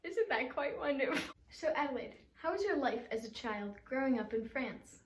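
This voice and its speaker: A woman's voice: high voice, lively intonation, moderate pace, distant mic. A woman interviewer putting questions to a guest in a staged interview. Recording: echoey room, clear background noise.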